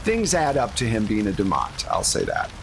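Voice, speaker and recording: A man's voice: on the low side, wildly swooping pitch, normal speed, gravelly, a little hiss or hum, low-quality recording. A man running a tabletop game, improvising narration and chatting casually and jokily with players. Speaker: game master.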